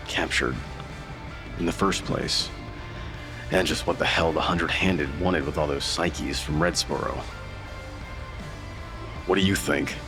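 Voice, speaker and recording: A man playing a gruff, world-weary investigator in an audio drama, terse, guarded and dry in his delivery. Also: rumbling low. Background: prominent music.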